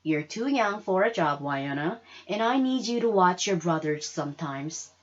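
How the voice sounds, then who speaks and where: A woman's voice: lowish voice, lively intonation, normal speed, lo-fi sound. A woman voicing a hard-working, firm but caring mother in a storybook reading. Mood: firm, weary.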